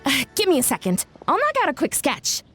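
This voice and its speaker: A woman's voice: high-pitched, wildly swooping pitch, brisk, fairly bright tone, faint music behind, heavily acted. A woman voicing an earnest, modest young female event organizer in a game, easily flustered and effusive. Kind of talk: English game dub acting.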